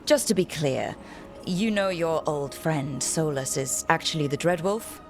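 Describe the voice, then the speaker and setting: An actress playing an earnest, plain-spoken adventurer in a video game, direct and questioning. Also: female voice, low voice, a little slow, darkish tone, faint music behind. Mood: curious, direct. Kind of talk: video game cutscene acting.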